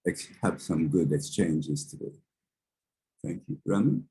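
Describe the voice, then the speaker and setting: A man from Canada welcomes an online audience in a very low, husky voice. His tone is mellow and dark, and he pauses often on soft ums. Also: animated. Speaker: panel co-host. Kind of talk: casual online panel talk.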